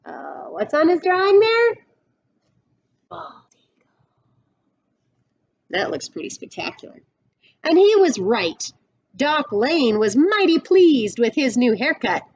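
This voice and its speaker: Middle aged lady teacher reading a kids book, really up and down, takes her time, bit muffled webcam. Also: high-pitched. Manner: expressive, deliberate. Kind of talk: storybook read-aloud with explanatory asides. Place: indoors, classroom-like room.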